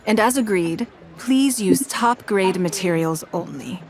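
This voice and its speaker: A composed, elegant lady of authority from a video game. She has a medium-pitched voice. Her delivery is measured, refined and businesslike. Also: lively intonation, a little mellow. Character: poised, commanding noblewoman, composed, elegant and businesslike.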